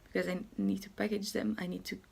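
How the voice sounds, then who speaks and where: A young woman in her twenties with a slightly raspy just-woken voice and hiccups, chatting casually while showing gifts, recorded on a handheld camera. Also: medium pitch, little pitch movement, fast, warm tone, reverberant. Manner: chatty, self-narrating while wrapping gifts. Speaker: vlogger. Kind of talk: casual vlog chat. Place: home kitchen and living room.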